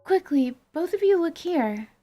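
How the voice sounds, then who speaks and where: A woman's voice: very high-pitched, lively intonation, a little slow, lo-fi sound, faint music behind. A woman playing an earnest, scholarly mage and teacher in a fantasy audio drama, explaining in precise, formal words. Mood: reassuring.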